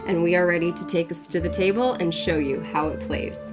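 A woman in her thirties, co-hosting a board game show, explaining rules in a clear, upbeat, instructive way. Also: fairly low pitch, brisk, over music. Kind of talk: rules explanation and casual play chat. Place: home studio set with brick wall, indoors.

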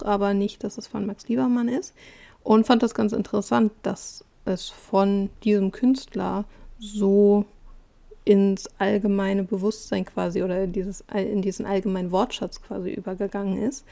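A woman presents an art quiz in voice-over, walking through each clue in a calm, explanatory manner. Her voice is mellow and dark-toned, sitting at a middle pitch. She pauses now and then to find her words. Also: creaky.

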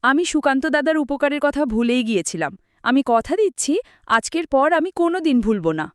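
A woman's voice: high voice, expressive, a little fast, no echo, studio quality. A woman voicing a demanding village wife in a cartoon. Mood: apologetic. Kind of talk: cartoon voice acting.

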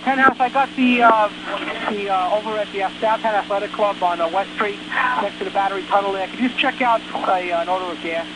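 A man's voice: sits high, fairly quick. A man working as a fire dispatcher, giving procedural directions over a two-way radio. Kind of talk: emergency radio dispatch traffic. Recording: noisy emergency radio traffic, loud hum.

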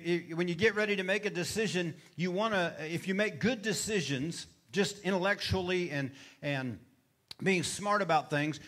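A man's voice: high-pitched, fairly bright tone. A middle-aged male pastor preaching on a church stage into a handheld mic, often asking the congregation questions and calling for responses. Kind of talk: sermon. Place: church stage with brick wall.

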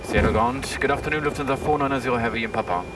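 A man's voice: medium pitch, very fast, noisy background. A man speaking clipped radio phraseology and checklist callouts as an airline pilot on a headset. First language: German. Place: airliner cockpit in flight. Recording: distant cockpit audio with aircraft drone.

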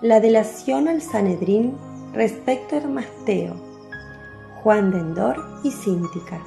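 Casting: female radio drama narrator, medium pitch, dark soft-edged tone, scripted delivery. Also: animated, takes it slowly, creaky, faint music behind.

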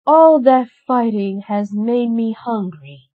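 Woman voicing a feisty, higher-pitched little fairy. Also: takes it slowly. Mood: eager. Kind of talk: children's storybook dialogue. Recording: slightly dull, lo-fi sound.

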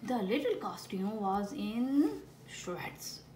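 A woman reads a children's picture book with very animated, swooping intonation. She takes her time and plays up the storytelling in a fairly high voice. The recording is roomy, with some background noise. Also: takes it slowly. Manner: exaggerated storytelling with playful asides to children. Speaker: children's story reader with a frog puppet. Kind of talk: expressive picture-book read-aloud. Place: indoors at a wooden table.

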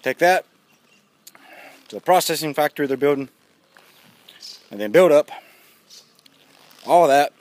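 Blunt, animated man with a high-pitched voice. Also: measured pace, pauses often. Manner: blunt, emphatic, sardonic, with swearing. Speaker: vlogger giving news commentary. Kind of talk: casual, opinionated commentary to camera. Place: outdoors, walking in a residential neighborhood. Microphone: phone or camera at arm's length.

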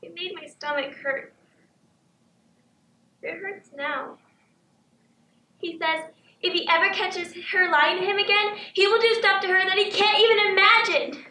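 A woman's voice: very high voice, sharp tone, full character voice. A young woman playing a frightened, tearful little girl in a stage monologue, speaking in plaintive, simple childlike phrases. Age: young adult. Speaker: high school stage actor. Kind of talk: theatrical monologue. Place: indoor theatre stage, far camera. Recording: echoey stage, distant microphone.

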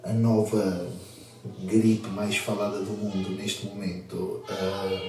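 Hoarse young man with a sick, croaky voice. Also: lowish voice, level tone, a little mellow, very echoey, distant mic, faint music behind, low-quality recording. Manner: slow, halting, full of fillers and restarts. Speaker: vlogger in a clip being reacted to. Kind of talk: casual vlog talk.

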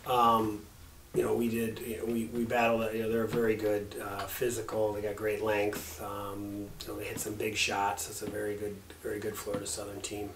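A middle-aged man, a head coach at a post-game press conference, speaking haltingly with many fillers and restarts. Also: fairly low pitch, completely flat delivery. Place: press room with backdrop and table. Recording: echoey room, mic a little distant, faint hum.

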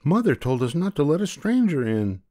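Male reader doing seven little kids as one, obedient and eager, pitched up. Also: sing-song, normal speed, dark tone. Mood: refusing. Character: seven small obedient children in chorus.

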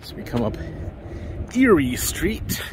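Man musing on a city walk. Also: a little high. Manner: relaxed, musing, slow and meandering. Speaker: walking tour vlogger. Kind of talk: casual walking-tour narration. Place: outdoors on city streets. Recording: outdoor street noise behind. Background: passing cars and street ambience.

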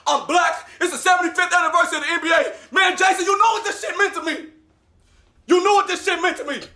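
A man acting in a comedy sketch as basketball players in a locker room, loud and teasing, shouting between laughs. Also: very high voice, fast, a faint crowd, exaggerated voice. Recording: echoey room clip.

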